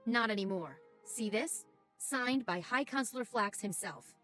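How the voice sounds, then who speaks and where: A woman voicing a confident, brisk young gnome-like engineer agent in a video game, assertive and businesslike. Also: fairly high pitch, animated, sharp tone. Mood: commanding. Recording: game music under the voice.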